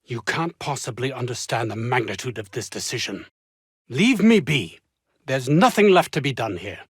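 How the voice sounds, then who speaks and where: An actor playing a pompous, ambitious, anxious research scientist in a video game, speaking with haughty, fussy, old-fashioned formal diction. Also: male voice, mid-range voice, animated, moderate pace, slightly rough, dry recording, clean studio sound. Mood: agitated, bitter. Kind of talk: theatrical game dialogue.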